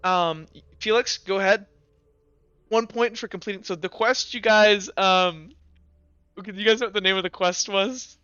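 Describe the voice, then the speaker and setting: A high-pitched man runs a tabletop game in a casual, animated, sweary way. His voice is bright and very clear, on slightly dull audio with music behind it. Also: normal speed, no room sound. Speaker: game master. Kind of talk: casual chat running a tabletop roleplaying game.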